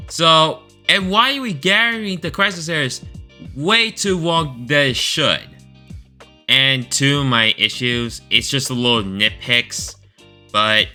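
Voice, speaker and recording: A man giving informal, enthusiastic opinion commentary as a video creator, expressive and stretching words for emphasis. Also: medium pitch. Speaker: video creator ranking game stories. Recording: voice over background music.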